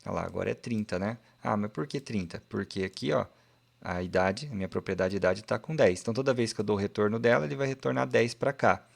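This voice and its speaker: Guy teaching code on screen, lowish voice, talks thru each step, keeps going "né?". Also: quick pace, vocal fry. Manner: explains step by step while coding, often checking in with "né?" and "ó". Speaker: programming instructor. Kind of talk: screen-recorded coding tutorial.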